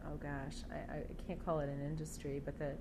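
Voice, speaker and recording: A soft-voiced older woman with a lowish pitch tells her story in an interview, pausing and restarting often. Steady background noise hums under her voice throughout. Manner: halting, with many fillers and restarts.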